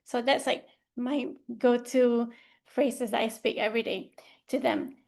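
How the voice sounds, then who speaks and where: A woman's voice: a little high, fairly even intonation, a little slow. A young woman from Malaysia, a medical trainee, presenting a report in a casual, loose way with fillers, talking from slides. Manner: informal, loose phrasing with fillers, speaks from slides.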